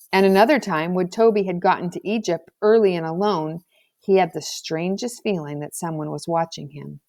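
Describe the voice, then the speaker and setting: A woman reading a children's novel aloud as scripted narration, in an expressive storybook manner. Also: on the low side. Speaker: audiobook reader.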